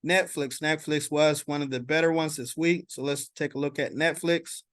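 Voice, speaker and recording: A man giving informal market commentary as a stock vlogger, explaining in a halting way and checking in as he goes. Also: a little high, steady tone, a bit bright, no echo, studio quality.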